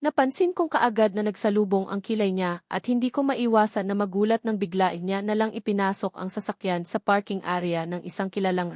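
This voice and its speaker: A Filipino woman narrates a story in the first person as an audiobook reader. Her voice sits at an average pitch for a woman, recorded dry with no room sound around it. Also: darkish tone, dry recording.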